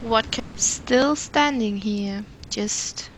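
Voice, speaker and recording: Slow-talking impatient fantasy companion played by a woman. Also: a little high, noisy background. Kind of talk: video game character dialogue.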